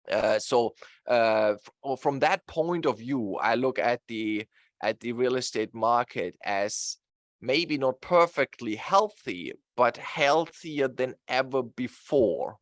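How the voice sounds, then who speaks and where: Talkative German-accented man, mid pitch, dry sound; expert guest walking through slides. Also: fairly bright tone, no echo. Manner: talkative, explanatory, many fillers. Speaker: guest real estate expert. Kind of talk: explaining slides in an interview.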